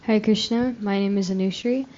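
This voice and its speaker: A woman's voice: medium pitch, fairly even intonation, normal speed. A young woman introducing herself at a youth retreat in a casual, shy and modest way. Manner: shy, modest, laughs softly. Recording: dull lo-fi recording with faint noise.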